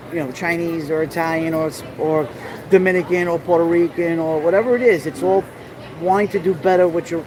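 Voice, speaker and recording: A middle-aged New York man walks a rainy city street with his phone, talking to his live stream audience in a fairly high voice. He rambles in a friendly way and greets viewers by name as he goes. Loud street noise comes through the phone mic around him. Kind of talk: casual walking tour live stream. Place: outdoor city street during a festival, raining. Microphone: handheld phone camera.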